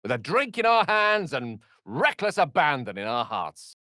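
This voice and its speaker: A man's voice: a little high, big pitch swings, normal speed, sharp tone, dry recording, studio quality. A man voicing a courteous, self-assured wizard companion in a video game, articulate and wryly theatrical. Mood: celebratory. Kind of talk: video game character voice lines.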